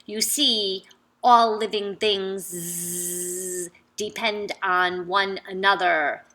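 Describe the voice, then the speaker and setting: An earnest storybook bee that buzzes through every phrase, as a woman reads it aloud. The voice drags along at a very slow pace, yet stays bright in tone. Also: mid-range voice, fairly even intonation, pitched below the performer's own voice, rough recording. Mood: pleading. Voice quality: buzzing "zzz" sounds. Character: buzzing male bee, earnest and instructive.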